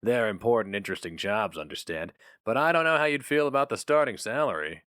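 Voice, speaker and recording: A man's voice: lowish voice, animated, fairly quick, a bit bright, no echo, clean studio sound. A man voicing a polite, unimpressed young male interviewer with a pleasant, philosophical manner.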